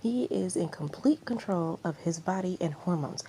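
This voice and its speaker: A woman's voice: lowish voice, lively intonation, normal speed, warm tone, low-quality recording. A woman voicing a prim, indignant male manager defending his client, with faint background noise. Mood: defensive.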